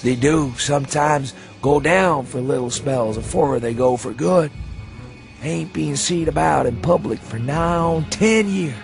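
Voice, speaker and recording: A high-pitched old rural New England man, rambling and insistent as he nurses a dreadful secret. He drags his words out in a folksy drawl, full of dread, in a radio horror tale with faint music behind him. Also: a little slow, vocal fry. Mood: maudlin, confiding. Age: older. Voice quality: shrill when agitated. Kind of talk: dramatised horror reading for radio.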